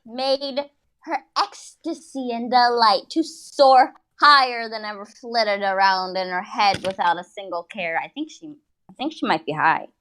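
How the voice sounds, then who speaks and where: A woman's voice: on the high side, a little slow, fairly bright tone. A woman giving a comic dramatic reading of fan fiction, theatrical and jokey, often breaking off to comment.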